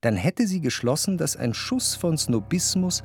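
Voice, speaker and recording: An actor playing a reflective, wryly observant narrator in a literary radio drama, speaking in a measured, articulate way. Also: male voice, fairly high pitch, big pitch swings. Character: reflective, observant male narrator of society life. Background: faint music.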